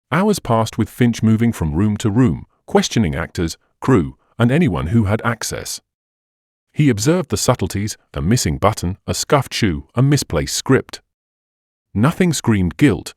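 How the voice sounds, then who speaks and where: Male mystery narrator: measured, dramatic, lowish voice, expansive intonation, dry studio-like sound. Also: darkish tone, dry recording. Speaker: audiobook narrator. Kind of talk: scripted narration of a detective mystery.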